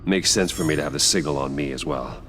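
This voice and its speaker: An actor playing a brooding, resolute crime-fighter in a video game, talking in a measured, serious way. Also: male voice, very deep voice, fairly bright tone, slight background noise. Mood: focused, grave.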